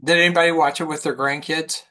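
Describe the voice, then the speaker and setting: A woman's voice: deep voice, steady tone, quick pace, a bit bright. An older woman telling anecdotes casually in a group film discussion. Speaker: film club member.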